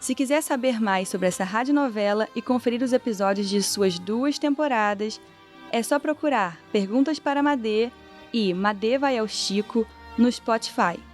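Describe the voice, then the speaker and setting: A woman from Brazil presenting a narrative podcast, reading her script in a measured, clear way. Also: on the high side, soft background music.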